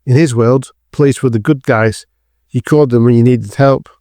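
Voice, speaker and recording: A man's voice: mid-range voice, steady tone, moderate pace, mellow, dry recording, clean studio sound. A man narrating a scripted dramatic thriller as the storyteller of an audio drama.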